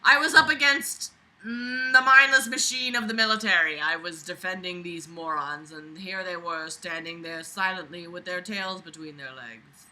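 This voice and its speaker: A woman's voice: on the low side, steady tone, moderate pace, bright, forceful tone, poor audio. A woman reading a book aloud and breaking in with exasperated, sarcastic, swearing commentary in a theatrical way. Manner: sarcastic, scornful, swearing freely. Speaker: reader reviewing a book aloud.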